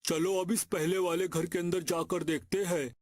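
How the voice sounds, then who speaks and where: A man playing a greedy, excitable village schemer in a cartoon story, speaking in exclamations. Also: high voice, moderate pace, no echo, clean studio sound. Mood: greedy, scheming. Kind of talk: Hindi cartoon story acting. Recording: music behind the voice.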